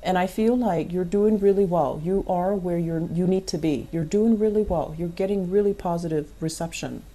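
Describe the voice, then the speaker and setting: A woman's voice: lowish voice, normal speed, dark tone. A woman giving a tarot reading, advising the listener earnestly and repeating phrases for emphasis. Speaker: tarot reader.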